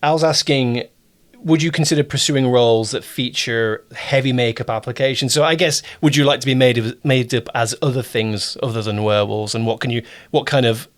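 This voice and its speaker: A man's voice: medium pitch, steady tone, brisk. Man hosting a casual interview. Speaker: interviewer, audio drama producer and director.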